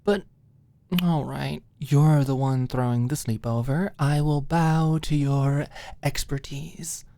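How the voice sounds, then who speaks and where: A woman plays a wry young woman in an audio story. She speaks in a low voice with mock-dramatic flair, covering a flustered streak. The recording is close and dry. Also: fairly even intonation, takes it slowly, mellow, no room sound, heavily acted.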